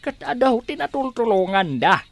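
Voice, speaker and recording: A man's voice: extremely high pitch, big pitch swings, normal speed, creaky, exaggerated voice. A man acting an elderly, fond father in an Ilocano radio drama. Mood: fond, grateful. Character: elderly father, fond, grateful, advising his grown child.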